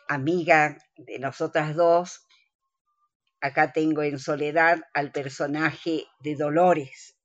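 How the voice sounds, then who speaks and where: A woman speaking as a guest actress in a live radio interview, courteous and deliberate. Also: deep voice, no room sound, highs cut off.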